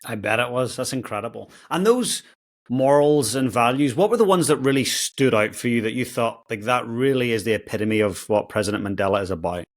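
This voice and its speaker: A man's voice: medium pitch, animated, brisk. A man hosting an interview, encouraging his guest and asking long open questions. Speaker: podcast host.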